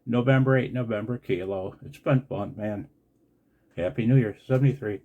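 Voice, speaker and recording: An older man from the United States in a casual, unscripted vlog as a radio operator, blunt and wry with a gravelly, husky voice. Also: lowish voice, moderate pace, narrow, tinny audio, a little hiss or hum. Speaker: amateur radio operator and video host. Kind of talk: casual, unscripted video commentary.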